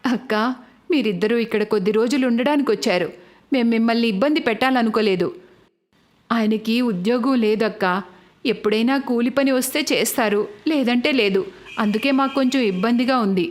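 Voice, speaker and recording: Woman acting a high, eager village housewife. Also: fairly quick, natural voice. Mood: apologetic. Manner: eager, deferential, apologetic. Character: hospitable, self-sacrificing younger sister and village housewife. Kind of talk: acted animated family story dialogue.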